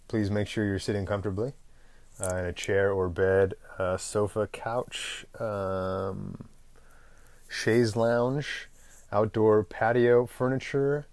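A man with a fairly low voice hosts his own podcast in a loose, unscripted monologue. He rambles from thought to thought, pauses on fillers and clears his throat now and then. The close microphone leaves the sound dry, with no room around it. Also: no echo. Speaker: podcast host.

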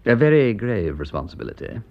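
An actor playing an aristocratic English gentleman detective in a radio drama, with an upper-class English accent and a witty, breezy, urbane manner. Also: on the low side, very animated, fairly quick, muffled recording. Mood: guarded, grave. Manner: aristocratic, breezy, clipped period phrasing.

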